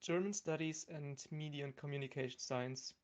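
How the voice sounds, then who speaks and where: A young man chatting casually as a podcast guest, in a hesitant, halting manner. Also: fairly high pitch, fairly even intonation. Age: young adult. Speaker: podcast guest, university student. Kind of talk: casual chat.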